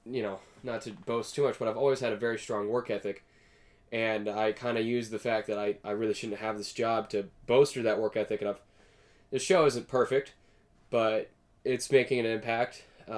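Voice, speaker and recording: Raspy-voiced young man hosting. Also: medium pitch. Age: young adult. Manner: rambling, earnest, self-correcting. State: hoarse from sinus allergies. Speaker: host. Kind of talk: casual solo podcast talk. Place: home recording room. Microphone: desk USB microphone.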